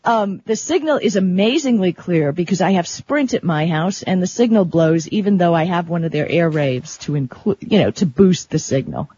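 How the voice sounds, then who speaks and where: A tech talk show co-host explaining things in a chatty, colloquial, conversational way, with a husky, rough-edged voice. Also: mid-range voice, lively intonation, moderate pace, hardly any pauses, darkish tone, a little hiss or hum. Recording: dull, lo-fi audio.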